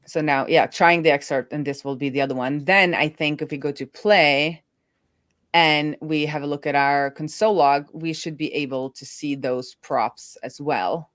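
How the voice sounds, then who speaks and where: Low-voiced Norwegian woman thinking aloud. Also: fairly even intonation, moderate pace, a bit bright, dry recording, studio quality. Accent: American-sounding English. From Norway. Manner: thinks aloud, many "like" fillers and restarts. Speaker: developer co-hosting a live build stream. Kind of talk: casual live coding chat.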